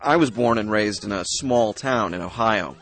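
A man playing a friendly young male college student from a small town in a scripted lesson dialogue, husky and eager with his questions. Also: lowish voice, moderate pace, a bit bright, soft background music. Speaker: dialogue actor.

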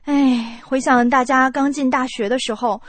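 A woman's voice: sits high, fairly even intonation, normal speed, no room sound. A woman co-hosting a scripted radio chat, talking in a reflective way. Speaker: radio co-host.

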